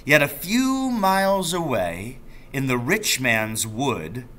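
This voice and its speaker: A man's voice: medium pitch, sing-song, slow pace, fairly bright tone. A man voicing an enthusiastic, roguish father in a storybook, telling tales with emphatic relish. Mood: proud, reminiscing.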